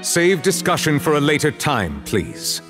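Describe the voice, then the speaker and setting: A man playing a composed, authoritative official in a video game, speaking in a formal, measured manner. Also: fairly high pitch, huge pitch swings, music in the background. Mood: firm, formal. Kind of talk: dramatic video game acting.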